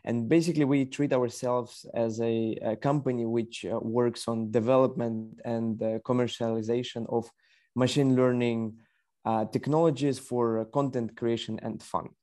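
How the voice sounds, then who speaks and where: Mellow young man with a Ukrainian accent. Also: medium pitch, fairly even intonation, moderate pace. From Kyiv, Ukraine. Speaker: podcast guest, company executive. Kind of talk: conversational interview answers.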